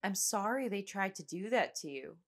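A woman playing a shaken but determined young woman, telling grim events in an audio drama with halting, deliberate delivery. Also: mid-range voice, lively intonation, close to an everyday voice. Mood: urgent, concerned. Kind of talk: audio drama narration and dialogue.

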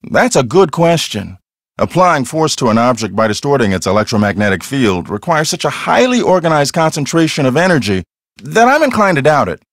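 A man's voice: mid-range voice, sing-song, brisk, dry recording, clean studio sound. An actor playing an earnest, self-assured male ghost hunter in a mystery video game, explaining things in a matter-of-fact, conversational way. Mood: wry, measured. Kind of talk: acted video game dialogue.

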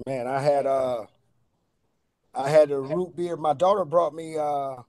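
A middle-aged man chatting casually as a radio guest, halting often in mid-sentence. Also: fairly high pitch, steady tone, darkish tone, no echo. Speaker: radio guest, cannabis strain reviewer. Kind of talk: casual chat.

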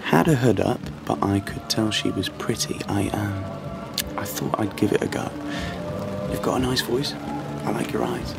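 A young man in an audio play, awkward and uneasy. His voice sits low and he speaks hesitantly, as if confiding. Music runs clearly behind him. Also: fairly even intonation. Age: 20s. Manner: hesitant, halting. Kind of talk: audio play monologue and dialogue.

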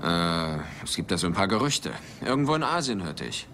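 A driven, wry adventurer professor in a film dub. The voice is bright and forceful, swings widely in pitch, and has a casual, dry delivery over faint noise. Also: male voice, sing-song, brisk, exaggerated voice. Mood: dry, explaining. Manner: hesitant lecturing, casual and dry. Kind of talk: German film dubbing.

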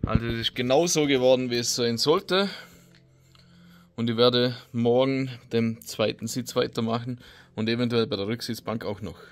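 A man's voice: mid-range voice, normal speed. A man, casually explaining a repair job in his garage workshop, with hesitant fillers. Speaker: DIY video host. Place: home garage workshop, indoors. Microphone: camera mic, close then farther as he works on the seat.

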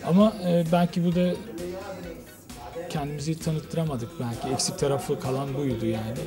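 A man in his forties talks informally as an interviewed business owner. His pitch is a little high and he repeats himself with verbal tags. Music plays clearly behind him. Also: steady tone, moderate pace. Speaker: restaurant owner being interviewed. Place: restaurant dining room. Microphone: handheld reporter microphone.

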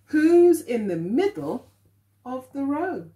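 A middle-aged woman, an early years teacher, reads a picture book aloud to young children, expressively and deliberately, with questions for them. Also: high-pitched, very animated, warm tone. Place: living room at home, indoors.

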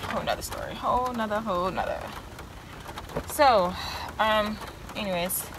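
A woman's voice: medium pitch, moderate pace. Young woman vlogger, chatty and rambling, phone-cam sound in a noisy van. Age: young adult. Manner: rambling, chatty, talks to viewers. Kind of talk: casual vlog. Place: inside a parked van on a rainy day. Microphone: handheld phone camera.

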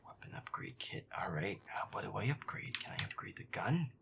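A man muttering sarcastic, sweary gameplay commentary in a breathy, casual way, close to the mic. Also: lowish voice, very animated, a little fast, background noise. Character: feisty werewolf boyfriend playing a scary game. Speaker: gamer playing a horror game.

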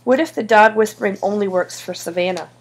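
A woman's voice: medium pitch, a little fast, breathy edge. A woman voicing a cautious schoolboy sidekick in an audiobook, skeptical in manner. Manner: skeptical, protesting. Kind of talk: audiobook character dialogue.

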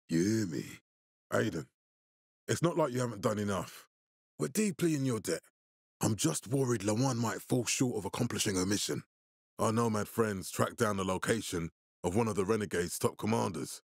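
Gravelly, commanding military leader. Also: male voice, lowish voice, animated, dry recording, clean studio sound. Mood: threatening. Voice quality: gravelly, husky. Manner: authoritative, deliberate, formal. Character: stern, commanding male military leader in a post-apocalyptic city. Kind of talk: video game character dialogue.

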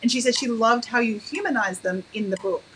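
Upbeat host, slightly high voice, reads fan questions over webcam. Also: female voice, a little fast, a bit bright, slight background noise, poor audio. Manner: enthusiastic, upbeat and gushing. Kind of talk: live interview reading fan questions. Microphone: webcam on a video call.

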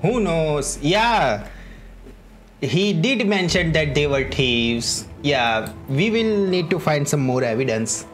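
Streamer, unhurried offhand reactions to a game, animated pitch movement, music underneath. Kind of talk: casual game playthrough commentary.

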